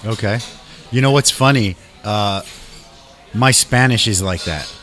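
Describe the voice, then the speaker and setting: A man who grew up in Canada, hosting a relaxed live chat show from a bar, talking animatedly, swearing casually and agreeing quickly. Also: lowish voice. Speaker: host.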